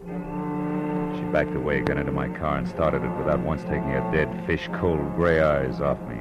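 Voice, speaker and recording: Deep-voiced hard-boiled private detective. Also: male voice, animated, normal speed, loud music behind the voice. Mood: sardonic narrating. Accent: American. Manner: tough, clipped, sardonic. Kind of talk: 1940s radio drama acting, first-person narration.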